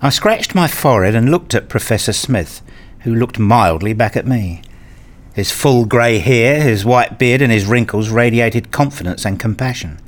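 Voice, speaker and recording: Radio play lead, male actor as self-deprecating researcher dad, mid-pitched, very lively intonation, earnest and inquisitive. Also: normal speed, close to an everyday voice. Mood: reflective. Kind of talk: scripted radio play dialogue and narration.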